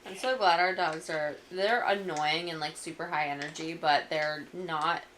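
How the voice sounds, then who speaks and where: A woman's voice: sits low, fairly even intonation, unhurried, a bit bright, a little hiss or hum, poor audio. A woman co-hosting a casual podcast, chatting in an easygoing way with lots of fillers. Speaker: podcast co-host. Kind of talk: casual chat.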